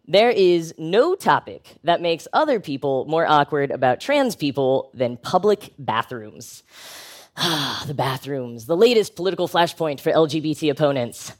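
An American man delivering a prepared live talk in a conversational, wry and emphatic way, as a public speaker with a humorous, educational bent. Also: on the high side.

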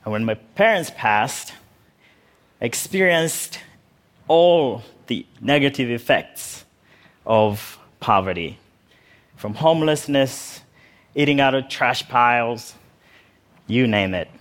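A man's voice: medium pitch, animated, slow pace, long pauses, fairly bright tone. Man telling his story on stage. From Uganda. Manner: humorous, vivid storytelling. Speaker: speaker. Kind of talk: storytelling talk. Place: stage in a large hall.

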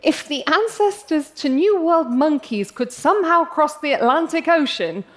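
English woman, young, passionate speaker, slightly high pitch, wry humor. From England. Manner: passionate, self-deprecating humor. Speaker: speaker giving a talk. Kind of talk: prepared public talk.

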